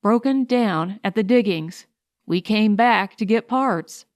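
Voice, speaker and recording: A woman narrator plays a self-possessed female prospector on Mars in an audiobook. She is shrewd and wry, speaking clearly at an unhurried pace. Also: mid-range voice, no room sound, studio quality, close to an everyday voice. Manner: composed, wry. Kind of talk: audiobook character voice.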